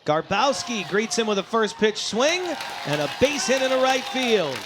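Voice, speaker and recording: A man calling live baseball play-by-play, descriptive between plays and excitable on big ones. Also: high voice, moderate pace, background noise. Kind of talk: live sports play-by-play commentary. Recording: crowd and music behind commentary. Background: ballpark crowd, music.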